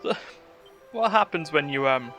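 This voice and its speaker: A man's voice: a little high, expressive, a little slow, pushed and bright. A man streaming a video game, commenting casually and offhand as he reacts aloud to it. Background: faint game music.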